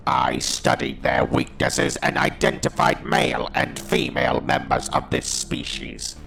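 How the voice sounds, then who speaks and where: Hateful alien war machine, audio drama: extremely gravelly, slow and brooding, with big menacing swings. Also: male voice, fairly high pitch, fairly bright tone, exaggerated voice, low-quality recording. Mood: brooding, bitter. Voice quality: gravelly, harsh, mechanical monster voice. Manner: slow, deliberate, ominous monologue.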